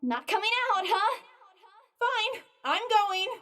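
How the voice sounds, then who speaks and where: Very high-pitched, anxious young estate agent. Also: female voice, very animated, takes it slowly, fairly bright tone, exaggerated voice. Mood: annoyed, uneasy. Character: young female estate agent, frightened, exasperated, searching for friend. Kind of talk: visual novel game voice acting.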